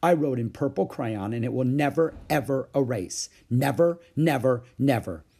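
A man voicing a stubborn, mischievous little girl in a storybook, with defiant, drawn-out emphatic words. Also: fairly high pitch, sing-song, vocal fry, a little mellow, husky, voice pushed up, full character voice. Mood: proudly unashamed.

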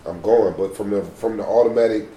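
A man's voice: on the low side, steady tone, fairly quick, mellow. A man in his thirties co-hosting a casual chat, telling stories in a laid-back, profane way. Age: 30s. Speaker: podcast co-host. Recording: roomy room, faint hiss.

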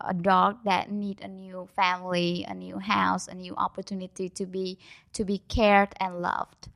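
A young woman whose first language is Vietnamese, answering questions as a guest with plenty of pauses and restarts. Her voice sits in a middle range and sounds close and dry. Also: medium pitch, creaky, fairly bright tone, dry recording. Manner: hesitant, many fillers and restarts. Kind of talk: casual interview.

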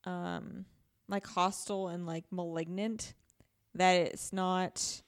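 A woman's voice: on the low side, little pitch movement, takes it slowly, smooth. A woman co-hosting a podcast in casual discussion, often thinking aloud as she goes. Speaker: podcast co-host.

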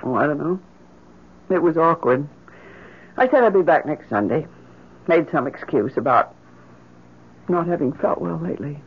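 A woman's voice: sits low, animated, moderate pace, pauses often, husky, full character voice. An actress playing a grief-stricken, inconsolable middle-aged mother in an impassioned, theatrical old-time radio drama style. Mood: everyday, uneasy. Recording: muffled vintage radio broadcast.

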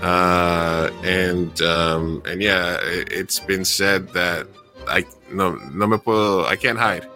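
A man's voice: deep voice, fairly even intonation, takes it slowly, pushed and bright, faint music behind. A man in his forties telling his story casually as a podcast guest, slipping Spanish phrases into his English. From born in Colombia, raised between South America and the US. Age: 40s.

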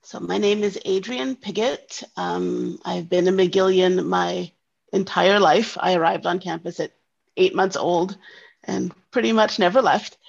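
A woman from Montreal introducing herself casually in an interview, relaxed and pausing with "um". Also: lowish voice, vocal fry. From Montreal, Canada. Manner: relaxed, with frequent "um". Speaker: interviewee, university staff member. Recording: dull lo-fi recording.

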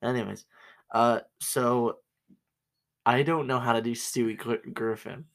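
A man's voice: medium pitch, steady tone, measured pace. A young man co-hosting a casual podcast, easygoing and a bit flustered. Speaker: podcast co-host.

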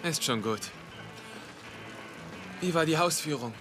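A man's voice: a little high, animated, slow pace. A man playing an earnest, brooding young investigator in a dubbed drama, talking in a casual, dry, skeptical way. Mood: bitter, sarcastic. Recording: music behind the dialogue.

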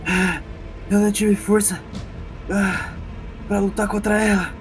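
A Brazilian man playing an anguished, stubborn hero burdened by fate, with halting, pleading and emphatic lines in a role-playing audio drama. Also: high voice, little pitch movement, slight background noise, voice pushed up.

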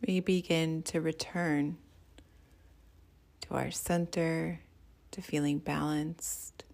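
A woman meditation teacher with a fairly low voice and a gravelly fry at the edges. She guides gently and soothingly, taking her time at a very slow pace. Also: steady tone. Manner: soothing, gentle, unhurried guiding.

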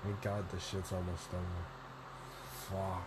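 A young man with a deep voice, rambling through a solo vlog, self-deprecating and swearing casually. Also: completely flat delivery, takes it slowly, heavy background noise. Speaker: podcaster and vlogger.

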